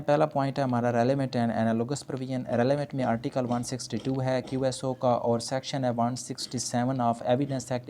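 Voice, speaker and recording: Creaky male voice, Pakistani accent, law lecturer, step-by-step explaining, English legal terms mixed in, some background noise. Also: medium pitch, dark tone.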